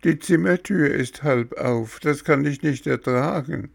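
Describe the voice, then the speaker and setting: Man putting on a high, whiny little puppet boy. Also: a little slow, vocal fry. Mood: whiny, fussy. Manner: dramatic, childish whining and pleading. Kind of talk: storybook character voice.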